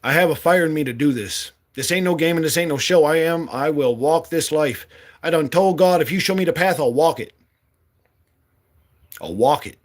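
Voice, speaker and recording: Blunt fired-up man, higher voice. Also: moderate pace. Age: 40s. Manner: blunt, swearing, fiery. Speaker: political candidate. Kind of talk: impassioned monologue.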